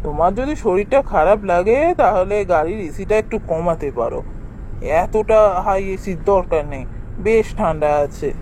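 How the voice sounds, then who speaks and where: A man's voice: high voice, lively intonation, moderate pace, darkish tone, a little hiss or hum, poor audio. Man playing a jumpy, anxious husband with a guilty secret. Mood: puzzled. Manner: anxious, exclamatory, repeats words. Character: guilty, jumpy married male writer in ghost story. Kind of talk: horror cartoon voice acting. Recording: music behind the voice.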